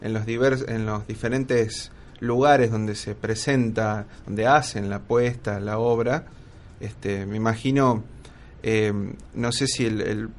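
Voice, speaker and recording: A man's voice: lowish voice, fairly even intonation, slow pace. A man hosting an interview, asking long, meandering questions with many fillers. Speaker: radio host. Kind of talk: conversational interview.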